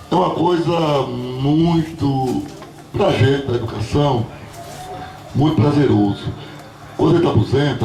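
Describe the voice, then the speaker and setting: A hoarse man in his sixties, a former school director, giving a rambling, chatty ceremony speech full of asides. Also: mid-range voice, a little mellow, slight background noise. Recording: far-off voice in an echoey hall.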